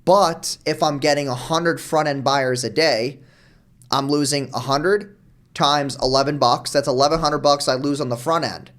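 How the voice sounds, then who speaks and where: A young man from New York, a guest on a podcast, talking fast and casually, throwing in "dude" and "like" often. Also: fairly high pitch, fairly bright tone. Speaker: guest, marketer.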